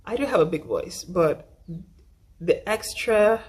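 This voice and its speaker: A young woman with a husky voice, still getting over the flu, chatting through a casual makeup tutorial and often repeating herself. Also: mid-range voice, expressive, takes it slowly, darkish tone. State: recovering from flu. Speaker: makeup vlogger.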